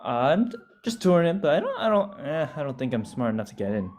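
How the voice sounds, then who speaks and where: A woman's voice: sits low, sing-song, fast, darkish tone, a little hiss or hum. A woman reading the part of an awkward, joking teenage boy at a table read, talking hesitantly with stumbles. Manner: hesitant, stumbling, self-deprecating.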